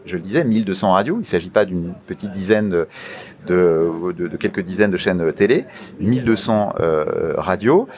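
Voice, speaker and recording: A man giving formal interview answers as an official, in a deliberate, halting manner with emphatic repetition of key phrases. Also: on the low side, a little mellow. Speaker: interview guest, media regulator official.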